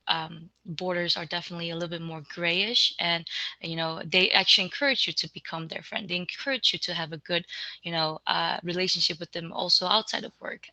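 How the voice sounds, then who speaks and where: Young woman on a panel, lots of you knows and ums, dry close sound, sharp tone. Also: on the low side, no room sound, low-quality recording. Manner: hesitant, lots of filler words. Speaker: alumni panelist. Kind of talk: panel discussion answer.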